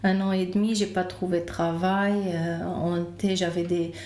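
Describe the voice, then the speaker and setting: A woman's voice: fairly low pitch, little pitch movement, moderate pace, a little mellow, a little hiss or hum. A woman from Lebanon with a Lebanese accent, telling her story as a podcast guest and searching for her words. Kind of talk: casual interview, telling own story. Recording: roomy, echoing room.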